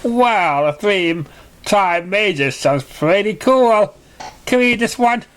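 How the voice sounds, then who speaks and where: Storybook role: eager young schoolgirl pony, casual, high-pitched, performed by a man. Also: expressive, slow pace, fairly bright tone, smooth, heavily acted.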